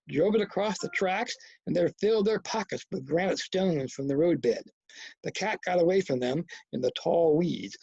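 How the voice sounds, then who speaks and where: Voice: mid-range voice, vocal fry, a little mellow, husky, no room sound. A reader giving scripted narration of a novel, in a slightly muffled recording. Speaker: audiobook-style reader.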